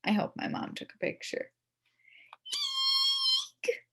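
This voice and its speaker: A woman reading a children's story aloud in the first person as a kid, expressively, with dramatic emphasis and drawn-out words. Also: on the high side, huge pitch swings, vocal fry, slightly rough. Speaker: storybook reader.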